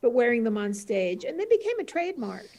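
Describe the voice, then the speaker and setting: A woman's voice: fairly high pitch, sing-song, fast talker, darkish tone, slight background noise. An older woman, a panel guest, reminiscing casually. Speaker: interview guest.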